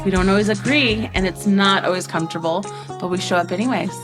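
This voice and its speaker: A young woman, the daughter half of a podcast duo, chatting in a bubbly, teasing way with quick interjections. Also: mid-range voice, over music. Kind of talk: casual conversational podcast.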